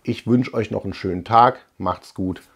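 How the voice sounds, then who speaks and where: A man in his forties hosting a casual review video, talking conversationally and haltingly as he thinks aloud. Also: on the low side, husky. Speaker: host of a retro cartoon review channel. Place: small room lined with shelves, indoors.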